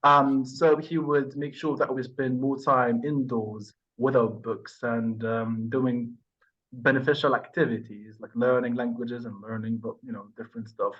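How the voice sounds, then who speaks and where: A man in his thirties from Libya, answering mock speaking test questions over a video call with many pauses and fillers. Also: medium pitch, little pitch movement, a bit bright, muffled recording. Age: 30s. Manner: hesitant, thoughtful, many fillers and restarts. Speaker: IELTS test candidate and channel host.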